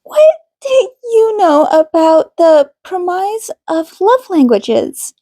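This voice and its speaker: A woman voicing a composed, professional female couples therapist in an audiobook, speaking in a formal, deliberate, probing way. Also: extremely high pitch, very animated, mellow, dry recording, pitched above the performer's own voice, exaggerated voice. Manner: formal, deliberate, clinical phrasing. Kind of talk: audiobook character dialogue.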